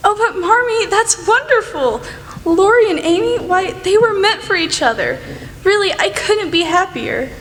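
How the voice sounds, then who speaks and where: High female voice, actress as spirited young writer, earnest and eager, radio play, reverberant hall. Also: expressive, moderate pace, fairly bright tone. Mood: bright, insistent. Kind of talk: student radio play acting. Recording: noisy hall with long reverb.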